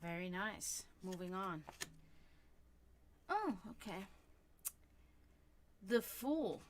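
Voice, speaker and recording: A woman born and raised in Germany, with German as her first language, chatting warmly as she reads tarot cards for viewers on a live stream. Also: medium pitch, extremely slow, slight background noise. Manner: chatty, animated, laughs often, talks to chat.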